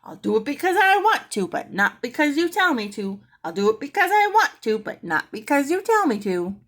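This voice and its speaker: A woman voicing a rude female pet parrot that squawks and yells repeated sassy phrases in a storybook reading. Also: very animated, moderate pace. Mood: defiant, scornful. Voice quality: squawky, shrill.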